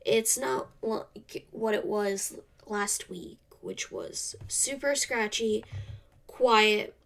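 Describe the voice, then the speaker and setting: A young woman hosting a solo podcast, slightly congested from a cold, speaking in a soft, halting way that trails off. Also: medium pitch, creaky. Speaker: podcast host. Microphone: camera off to the side.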